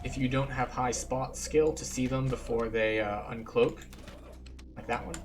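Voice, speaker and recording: A man's voice: mid-range voice, measured pace, noisy background. A man giving casual, matter-of-fact gameplay commentary as he explains things like a tour guide. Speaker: game streamer and tour guide. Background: game music.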